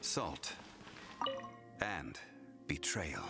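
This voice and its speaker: An ageless, calm god in human guise, with a deep register. He speaks slowly and formally, with solemn weight, as in a fantasy video game. Music plays clearly behind him. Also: full character voice. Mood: grave. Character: calm, grave, ancient god in mortal man's form. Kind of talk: dramatic video game dialogue.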